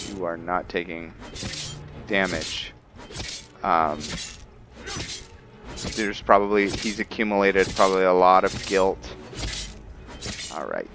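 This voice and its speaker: A man giving casual commentary as he plays a roleplaying game, thinking aloud in a halting way. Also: sits low, fairly bright tone. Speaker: gameplay host.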